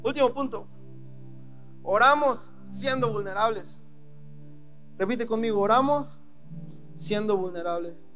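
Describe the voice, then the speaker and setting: A man with a Mexican Spanish accent preaches a sermon. His voice sits very high for a man. He keeps the tone conversational and acts out prayers and God's answers, with faint music underneath. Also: unhurried, pauses often, sharp tone. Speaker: pastor.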